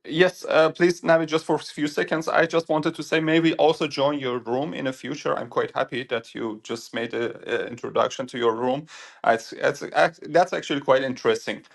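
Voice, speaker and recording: Male host, phone audio, halting earnest English. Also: on the high side, steady tone, creaky. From Iran. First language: Persian. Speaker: room host. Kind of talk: audio chat room discussion. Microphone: phone in a voice chat app.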